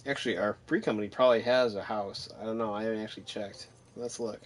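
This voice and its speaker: A man's voice: fairly low pitch, steady tone, fast talker. A man giving casual, wry, mildly sarcastic commentary as he plays through a game. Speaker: gamer narrating own playthrough.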